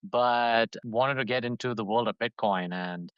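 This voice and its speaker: A man's voice: mid-range voice, unhurried, smooth, no room sound, muffled recording. A man from Dubai in his thirties, a podcast guest talking casually and rambling, with lots of fillers and restarts. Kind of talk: casual interview talk.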